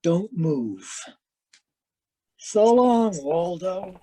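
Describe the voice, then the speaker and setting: An actor playing a cocky drunk who turns killer in a noir audio drama, demanding and taunting. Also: male voice, sits high, very animated, drawn-out words, mellow, a little hiss or hum, heavily acted, low-quality recording. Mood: menacing, gloating.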